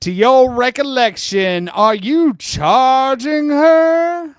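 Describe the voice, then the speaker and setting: A high-pitched man acting as a male lawyer works through a deposition reading. He is slow and insistent, rewording questions as he presses the witness. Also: smooth, no echo, studio quality, heavily acted. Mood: pressing. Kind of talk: dramatic reading of a deposition transcript.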